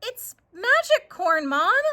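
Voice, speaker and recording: A woman's voice: very high voice, sing-song, slow pace, sharp tone, pitched above the performer's own voice, heavily acted. A woman voicing an earnest, anxious young farm girl in a children's story, plaintive and hesitant. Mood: sheepish.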